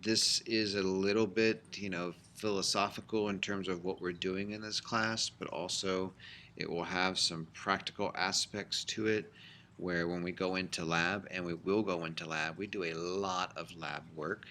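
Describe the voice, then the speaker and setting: A middle-aged man teaching a high school biology class in a recorded lecture, explaining in a conversational way with frequent fillers. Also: fairly even intonation, moderate pace, sharp tone, slight background noise.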